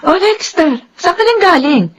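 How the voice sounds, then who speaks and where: A woman's voice: very high voice, lively intonation, normal speed, music in the background. Middle-aged mother, firm but placating. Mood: concerned, placating. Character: mother and business owner nearing retirement, firm but placating. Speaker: radio drama actor. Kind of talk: Filipino radio drama acting.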